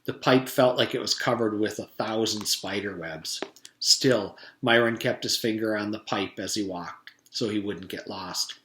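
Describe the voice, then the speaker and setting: A man's voice: lowish voice, a little slow, bright, forceful tone, slight background noise. A man, a teacher, reading a children's storybook aloud to his students. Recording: echoey room.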